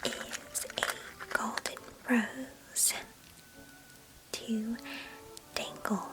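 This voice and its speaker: A woman's voice: on the high side, steady tone, very slow, low-quality recording. A woman playing a chatty, doting young cousin in an ASMR roleplay, whispering breathily with playful grandeur. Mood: doting, whimsical.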